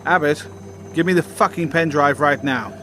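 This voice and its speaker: A man's voice: on the high side, moderate pace, very noisy, natural voice. Man playing a smug, condescending army sergeant. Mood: commanding. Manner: taunting, condescending. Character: smug, callous, foul-mouthed male army sergeant. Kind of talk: amateur audio drama acting.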